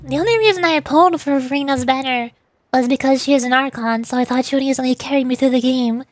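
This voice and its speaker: A woman's voice: high-pitched, fast talker, no echo. A woman streamer giving casual, chatty and playful commentary while playing a video game. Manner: playful, chatty, with silly sound effects. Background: game music.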